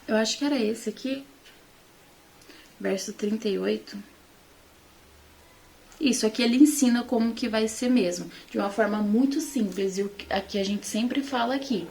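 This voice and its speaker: A woman's voice: on the high side, normal speed, vocal fry, pauses often, a little mellow. A Brazilian woman teaching the Bible informally to online followers, conversational and emphatic in her delivery.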